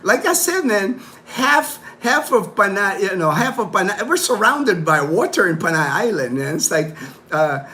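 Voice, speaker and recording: A man's voice: high voice, very animated, moderate pace, pushed and bright. A man hosting a casual livestream from his home, rambling in loose talk full of "you know", "like" and "man". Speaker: livestream host.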